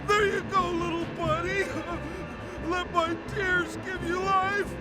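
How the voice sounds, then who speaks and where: Slow, goofy cartoon starfish. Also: male voice, very high voice, little pitch movement, over loud music, a loud crowd. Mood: frantic, tearful. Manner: slow, naive, emotional. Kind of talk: cartoon video game voice acting.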